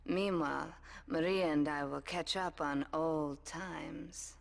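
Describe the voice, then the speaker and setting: A woman's voice: low voice, steady tone, slow, creaky, heavily acted. An actress playing a cold, commanding Yakuza woman boss who gives curt, dryly seductive orders in a video game cutscene. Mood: cool command.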